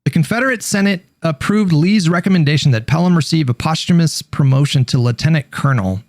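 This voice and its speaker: A man with a moderately high voice in a dry, close recording. He tells stories casually and haltingly, slipping between informal, sweary talk and reading aloud. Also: a little mellow, dry recording. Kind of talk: casual storytelling, partly reading an article aloud.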